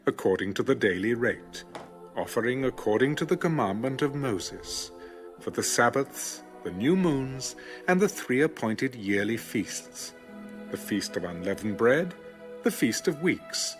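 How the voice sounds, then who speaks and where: A man narrating scripture from a script in a measured, formal way. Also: medium pitch, big pitch swings, faint music behind. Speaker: narrator of an audio Bible.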